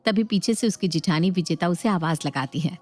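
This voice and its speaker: A woman's voice: on the low side, a little fast, a little mellow. A woman reading scripted narration for a moral story as its narrator.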